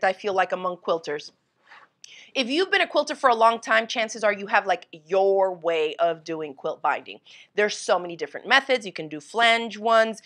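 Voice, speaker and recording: A woman's voice: medium pitch, sharp tone. A woman in her thirties hosts a casual livestream quilting lesson from her home sewing studio, chatting and greeting viewers by name. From Florida, USA. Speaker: quilting teacher and livestream host.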